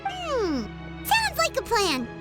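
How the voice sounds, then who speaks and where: A tiny, childlike game fairy chatters with extremely high, squeaky pitch. Her intonation swings wildly as she exclaims with excited curiosity. Prominent music plays behind her. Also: slow pace, exaggerated voice. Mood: chatty. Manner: exclamatory, refers to herself in third person. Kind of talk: video game voice acting.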